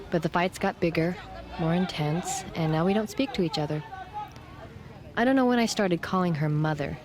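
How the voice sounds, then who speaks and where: A woman's voice: deep voice, a little mellow, close to an everyday voice. A woman from Taiwan performing a candid autobiographical monologue as an adult daughter in an audio docudrama. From born in Taiwan. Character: candid adult daughter recalling her difficult mother.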